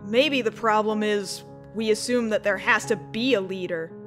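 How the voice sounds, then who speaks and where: A woman's voice: mid-range voice, moderate pace, soft background music, close to an everyday voice. A woman playing a frank, steadfast member of an embattled group in a web film, speaking plainly. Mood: gentle, wry. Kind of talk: scripted web film dialogue.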